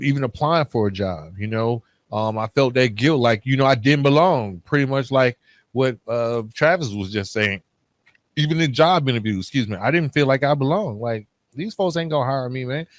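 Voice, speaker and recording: Man hosting a podcast, low-pitched, dry sound. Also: no room sound. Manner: informal, colloquial, candid. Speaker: podcast host. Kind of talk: casual interview and personal reflection.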